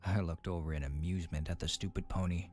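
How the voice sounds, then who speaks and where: A man narrating a dramatic first-person story in a brooding, measured manner. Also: low-pitched, fairly even intonation, darkish tone. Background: faint music.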